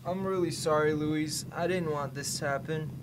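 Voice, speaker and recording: A man plays an apologetic young man in a radio drama, his voice a little high. He talks haltingly and earnestly over clear background noise. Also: fairly even intonation, close to an everyday voice. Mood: guilty, apologetic. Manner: hesitant, halting. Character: hesitant, apologetic young man, reluctant stalker and book lover. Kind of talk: student radio play dialogue.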